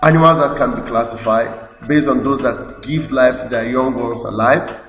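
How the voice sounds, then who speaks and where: A man with a Nigerian English accent, teaching a school lesson by slowly reading out lists from slides. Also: medium pitch, a bit bright. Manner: slow, list-like reading with explanations. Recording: echoey room with long reverb, faint music.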